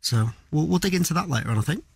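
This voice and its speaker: A man's voice: a little high, expressive, fast, vocal fry, breathy edge, no echo. A man from North Manchester, co-hosting a football show in a laid-back way.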